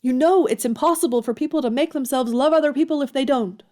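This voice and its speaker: A woman's voice: sits high, quick pace, a little mellow, natural voice. An actress playing a frank, spirited young woman in an audio drama, speaking earnestly and candidly. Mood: pained, pleading.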